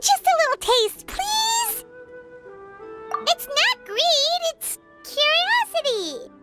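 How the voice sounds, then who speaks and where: A woman voicing a tiny, cheeky, childlike floating fairy companion in an anime game, squeaky and exclaiming. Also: big pitch swings, takes it slowly, full character voice. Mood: curious, pleading. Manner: talks about self in third person, exclaiming. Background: playful background music.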